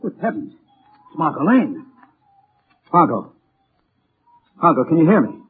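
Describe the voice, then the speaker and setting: An actor playing an irascible, commanding police commissioner in 1940s radio drama style. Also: male voice, on the high side, big pitch swings, a little slow, husky, heavily acted. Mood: alarmed. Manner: scolding, commanding. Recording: muffled, tinny 1940s radio recording.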